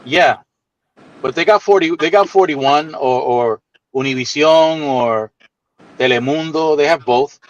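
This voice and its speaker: A man's voice: on the high side, measured pace. A man from Puerto Rico chatting casually on a stream, often repeating his questions and asking for clarification. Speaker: stream guest. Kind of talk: casual chat. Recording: dull lo-fi remote audio.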